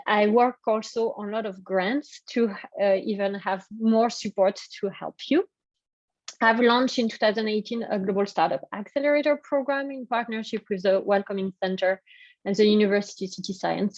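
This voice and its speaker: A woman's voice: medium pitch, a little mellow, dry recording, lo-fi sound. French-accented woman presenting a webinar. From France. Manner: hesitant, many fillers and restarts. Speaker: workshop presenter. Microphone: video call.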